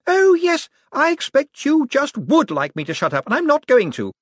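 A man's voice: high voice, wildly swooping pitch, fairly quick, husky, dry recording, studio quality, pitched above the performer's own voice, exaggerated voice. A man voicing a vain, petulant rich woman in an audiobook, chattering in a gushing, dismissive, self-righteous manner. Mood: gleeful, defiant. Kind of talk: audiobook character acting.

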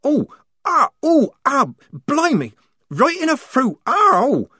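A man's voice: extremely high pitch, wildly swooping pitch, slow pace, pushed and bright, no room sound, pitched above the performer's own voice, exaggerated voice. A man voicing a practical young woman disguised as a male soldier, dry and matter-of-fact. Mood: mock pained.

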